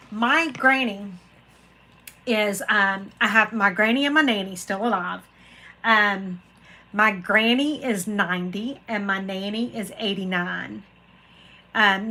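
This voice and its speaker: A woman's voice: mid-range voice, sharp tone, low-quality recording. A woman, hosting a casual live chat and rambling to viewers by name. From Florida, USA. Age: 40s. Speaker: craft channel host reading live chat.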